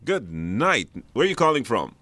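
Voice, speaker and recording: A man's voice: mid-range voice, sing-song, moderate pace, bright, forceful tone, no echo. A man casually hosting a live radio call-in show, chatty and encouraging, speaking in a studio.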